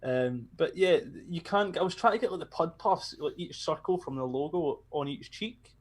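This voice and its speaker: A man's voice: fairly high pitch, lively intonation, measured pace. A man hosting a casual chat, blunt and joking, swearing freely. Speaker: podcast host.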